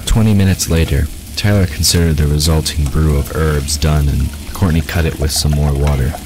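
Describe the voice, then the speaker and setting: A man's voice: extremely low pitch, fairly even intonation, normal speed, darkish tone, soft background music, rough recording. A man reading scripted fiction as the narrator of an audio drama.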